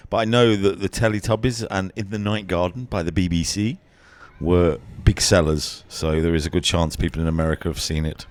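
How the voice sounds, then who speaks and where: A man's voice: low-pitched, steady tone, fairly quick. A man co-hosting a travel podcast, trading dry jokes with a casual delivery. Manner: dry, joking. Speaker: podcast co-host.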